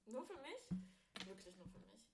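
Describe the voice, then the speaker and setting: A woman's voice: medium pitch, very animated, a little slow, reverberant, heavy background noise. A woman in her late twenties from southern Thuringia, hosting a casual podcast chat, giggly and full of fillers and self-corrections. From southern Thuringia, Germany. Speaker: podcast host.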